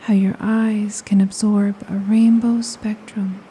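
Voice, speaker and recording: A woman guiding a hypnosis meditation in a soft, breathy, soothing voice, often near a whisper, with unhurried phrases and long pauses. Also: medium pitch, little pitch movement, poor audio. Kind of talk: slow guided meditation, hypnosis narration. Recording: faint ambient noise of ocean waves. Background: ocean waves.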